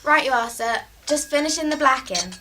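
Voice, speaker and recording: Woman playing high-voiced, deferential housemaid, noisy background. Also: animated, normal speed, sharp tone. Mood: meek. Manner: deferential, apologetic. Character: deferential, obliging young housemaid at work. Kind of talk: radio drama acting.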